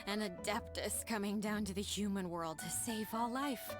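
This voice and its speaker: A woman's voice: medium pitch, normal speed, close to an everyday voice. A woman voicing an earnest, devoted young clerk who speaks with heartfelt sincerity. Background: game background music.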